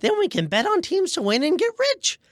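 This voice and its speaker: A woman playing a fast-talking young schemer with glib, wheedling sales patter in a scripted radio comedy. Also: high voice, sing-song, no room sound, studio quality. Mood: eager, confident. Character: fast-talking young scheming huckster pitching dubious deals.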